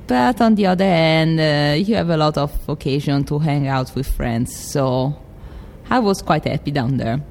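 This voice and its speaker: A young woman from Rome with an Italian accent, introducing herself casually and pausing to search for words. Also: low voice, expressive, vocal fry, darkish tone, a little hiss or hum. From Rome, Italy. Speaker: graduate student introducing herself.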